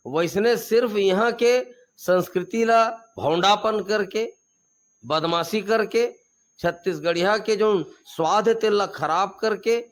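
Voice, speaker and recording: A man with a Chhattisgarhi accent answers interview questions as a regional activist. His voice sits fairly high, and he speaks with fire and force, hammering home repeated slogans. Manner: fiery, emphatic, repeats slogans.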